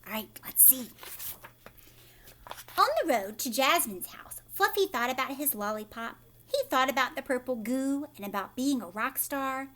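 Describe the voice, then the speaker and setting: A woman reading a storybook aloud as a teacher, animated, with chatty asides straight to young listeners. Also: sits high, normal speed.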